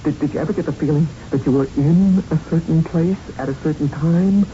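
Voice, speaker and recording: An actor in a 1970s radio drama playing a tough, haunted young boxer who tells his story in a halting, intense, streetwise way. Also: a little high, dark tone, lightly acted. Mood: frightened, shaken. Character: haunted young male boxer, tough, plain-spoken, shaken. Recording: muffled, noisy 1970s radio recording.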